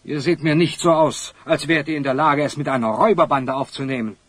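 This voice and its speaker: A man playing a pompous, florid older wealthy magnate with a husky voice in a children's audio drama. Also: on the high side, brisk, no echo, natural voice. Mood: doubtful.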